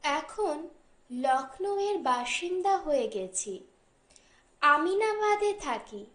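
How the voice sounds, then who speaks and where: A woman reads a short story as an audiobook narrator, in a measured, deliberate way with pauses between phrases. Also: high voice, echoey room.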